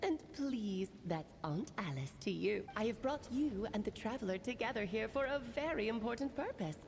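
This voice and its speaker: Theatrical, high-voiced playful witch auntie. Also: lively intonation, moderate pace, gravelly, darkish tone, a little hiss or hum. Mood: teasing, breezy. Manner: theatrical, flourishing storyteller. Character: Alice, playful theatrical grown-up witch, breezy eccentric auntie. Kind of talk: anime video game voice acting.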